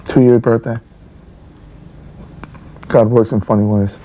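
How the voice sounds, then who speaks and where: Mellow-voiced plainspoken middle-aged man. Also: mid-range voice, fairly even intonation, slow pace, low-quality recording. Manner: plainspoken, repeats phrases for emphasis. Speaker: father being interviewed at home. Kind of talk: casual, unscripted interview talk.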